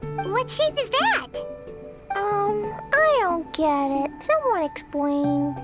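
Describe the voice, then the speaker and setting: Squeaky, excitable little girl adventurer. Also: takes it slowly, loud music behind the voice, exaggerated voice. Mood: puzzled. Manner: childlike, exclamatory, bouncy. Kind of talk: video game character acting.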